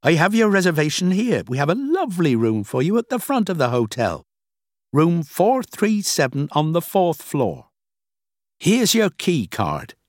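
A man's voice: a little high, huge pitch swings, measured pace, dry recording, studio quality, voice pushed up, full character voice. A man voicing a polite male hotel desk clerk in a story, formal, welcoming and helpful. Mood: welcoming, courteous.

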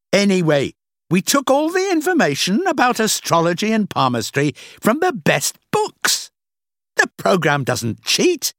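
A man's voice: high voice, vocal fry, a bit bright, slightly rough, no room sound, clean studio sound, voice pushed up, full character voice. A man voicing an angry, arrogant, dismissive businessman fortune teller in an audiobook, blustering and impatient. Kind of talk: audiobook character acting.

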